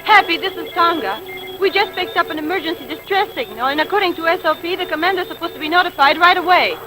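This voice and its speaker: An actress playing an efficient space communications operator in an old-time radio drama, crisp and official. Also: female voice, very high voice, fast talker, noisy background. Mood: businesslike, urgent.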